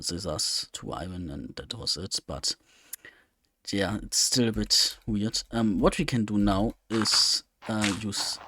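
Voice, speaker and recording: A man giving casual, unscripted video game commentary, rambling and thinking aloud with hesitation. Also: on the low side. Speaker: let's play host.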